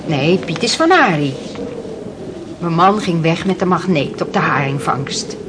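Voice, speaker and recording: A woman's voice: on the low side, animated, unhurried. An actress playing a sorrowful, twice-widowed fisherman's wife in a radio drama, telling her story in plain, emotional words. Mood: reminiscing. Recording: noisy old radio play recording.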